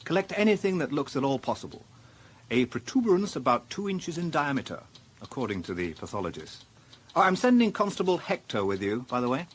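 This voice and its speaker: A man's voice: medium pitch, big pitch swings, a little fast, husky. A British actor playing a dry, sardonic police inspector who narrates his own case in a radio drama. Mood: businesslike.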